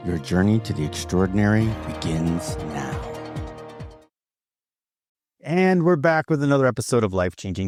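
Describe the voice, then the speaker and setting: A man hosting his own podcast, talking in a hype-driven, effusive way as he introduces guests. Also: on the low side. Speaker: podcast host.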